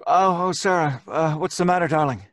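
An actor playing a hesitant, protective husband in an old-time radio drama. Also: male voice, on the high side, normal speed, dry recording, clean studio sound, close to an everyday voice. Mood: soothing, firm. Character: hesitant, protective husband soothing his wife.

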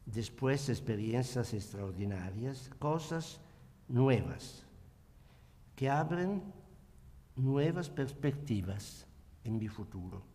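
Slow older man with an Italian accent. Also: medium pitch, expressive, dark tone, long reverb tail. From Italy. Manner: slow, measured, reflective reading. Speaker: guest speaker. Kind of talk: lecture read from notes. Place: conference hall at a panel table. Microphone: table microphone.

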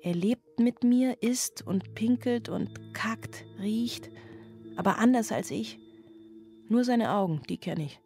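A woman's voice: a little high, slow pace, dark tone. An actress playing a weary, brooding single mother in a radio drama monologue, loving yet overwhelmed. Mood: weary, tender. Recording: music behind the voice.

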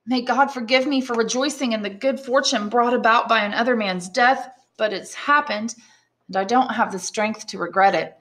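Lady doing an eager young sailor guy, earnest storybook son, voice raised high. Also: moderate pace, close to an everyday voice. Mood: excited, earnest. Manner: earnest, excitable. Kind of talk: audiobook storytelling.

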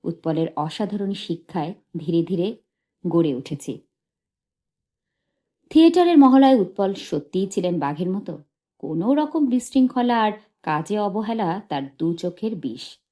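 A woman reading a memoir as an audiobook narrator, in a measured, literary storytelling delivery. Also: medium pitch, very animated, dark tone.

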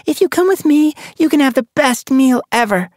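A man's voice: very high-pitched, lively intonation, moderate pace, darkish tone, no echo, clean studio sound, voice pushed up. A man voicing an eager young female apprentice cat who urges and coaxes her friend. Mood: coaxing. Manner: urgent, pushy.

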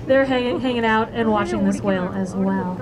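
A woman's voice: medium pitch, normal speed, a crowd in the background. A woman narrating a whale watching tour, chatty and playful, asking the passengers questions. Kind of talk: guided tour commentary over a PA. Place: outdoors on a moving boat at sea. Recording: loud boat engine and wind noise.

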